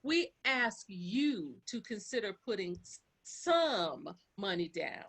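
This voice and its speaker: A woman's voice: mid-range voice, big pitch swings, slow pace, fairly bright tone, breathy edge, exaggerated voice. A woman playing a vain, coy businesswoman diva in a theatrical comic radio monologue. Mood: coy, persuasive. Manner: pompous, coy, self-adoring.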